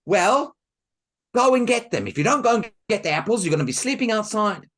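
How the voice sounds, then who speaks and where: A man storyteller voicing a bossy, harsh stepmother who shouts orders and threats. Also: high voice, lively intonation, a little fast, pitched above the performer's own voice.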